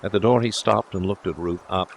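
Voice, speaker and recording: A man's voice: on the low side, a little fast, creaky, slight background noise. A man reading scripted literary narration as an audiobook narrator.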